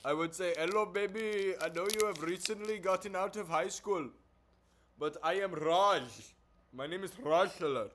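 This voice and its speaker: A man putting on a mock accent as a character called Raj, slow and loud. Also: high voice. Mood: smarmy. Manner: exaggerated mocking put-on accent, slow and loud.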